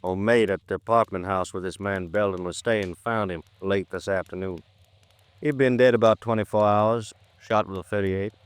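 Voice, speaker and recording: An actor playing an overworked, laconic American police lieutenant with colloquial, clipped talk, in 1950s radio drama style. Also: male voice, on the low side, steady tone, normal speed, clean tone, no echo, natural voice. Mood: dry, matter-of-fact.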